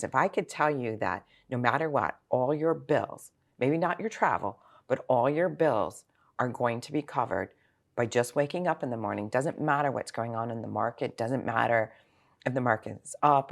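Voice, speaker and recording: Low, gravelly-voiced sixty-year-old woman planner. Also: normal speed. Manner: informal, explains with examples and rhetorical questions. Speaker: financial planner being interviewed. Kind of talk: conversational interview answers.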